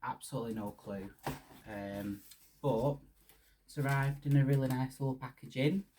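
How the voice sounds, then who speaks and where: A man's voice: medium pitch, steady tone, moderate pace, mellow, slight background noise. A young man from Hungary with a Hungarian-Lancashire accent, hosting a casual podcast and rambling with lots of restarts and ums. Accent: Hungarian with Lancashire English. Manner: rambling, many restarts and ums, laughs shyly. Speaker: podcast host. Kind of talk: casual solo podcast chat.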